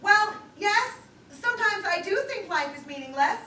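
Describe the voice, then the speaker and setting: A woman's voice: very high-pitched, animated, normal speed, sharp tone, heavily acted. A woman acting in a live one-act play as a nervous, self-conscious woman rambling through an awkward date. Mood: awkward, chatty. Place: lakeside theater stage, recorded from afar. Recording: distant, echoey, noisy live theater recording.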